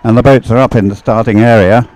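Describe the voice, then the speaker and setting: A man's voice: mid-range voice, fairly even intonation, fast, darkish tone, soft background music, low-quality recording. A man giving casual live commentary as a race commentator.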